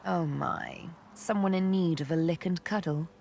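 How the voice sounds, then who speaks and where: An actress voicing a seductive, menacing elven assassin woman in a fantasy game, intimate and teasing, with breathy, deliberate delivery. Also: lowish voice, a little mellow. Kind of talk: dramatic fantasy game voice acting.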